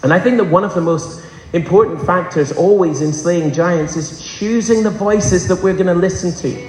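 A man's voice: high-pitched, moderate pace, darkish tone. A man preaching a sermon to a congregation, impassioned, often asking the room rhetorical questions. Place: large church hall. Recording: echoey church hall with long reverb.

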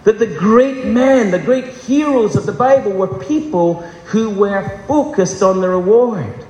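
A man's voice: high voice, measured pace, darkish tone, lo-fi sound. A man preaching a sermon to a congregation, impassioned, often asking the room rhetorical questions. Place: large church hall. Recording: echoey church hall with long reverb.

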